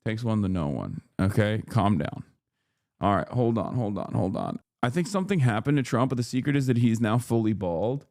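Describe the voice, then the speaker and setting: A man in his thirties from Turkey, streaming and riffing on chat messages in a loud, joking, slangy way with plenty of swearing. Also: fairly low pitch, animated, moderate pace, dry recording, clean studio sound. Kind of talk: casual livestream chat.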